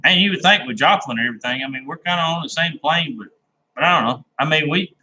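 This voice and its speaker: A man's voice: on the high side, fast, bright, forceful tone, slight background noise, poor audio. A man chatting casually with viewers on his live stream, rambling and laid-back, with a folksy, plain-spoken way of talking. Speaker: livestream host chatting with viewers.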